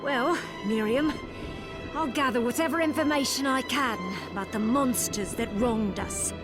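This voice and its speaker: High, slow-spoken vengeful widow played by an actress. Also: female voice, music in the background, close to an everyday voice. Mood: pleading, bitter. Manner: emotive, folksy, pleading. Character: grief-stricken widowed villager bent on vengeance. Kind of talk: video game voice acting.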